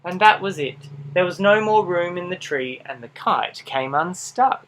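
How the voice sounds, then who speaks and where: A man reading a children's picture book aloud in an animated style, with dramatic pauses and playful asides to young listeners. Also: high voice, a little hiss or hum, rough recording. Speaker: storybook reader.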